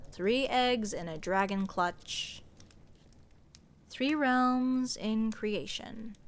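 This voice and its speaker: A woman's voice: on the high side, a bit bright, slight background noise. A woman playing a snarky, exasperated sorceress adventurer in a fantasy comedy audio roleplay, sarcastic and nitpicky, stressing and stretching her words. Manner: sarcastic, scoffing, emphatic with drawn-out words.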